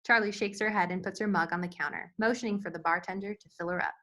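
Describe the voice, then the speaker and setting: A woman's voice: mid-range voice, fairly even intonation, fast, pushed and bright. A woman reading screenplay stage directions as scripted narration at a table read.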